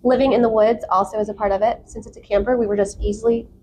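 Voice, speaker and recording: A woman talking as a podcast guest in a casual, candid and expressive way, prone to giggling. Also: medium pitch, fast talker. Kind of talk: casual chat. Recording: clear background noise.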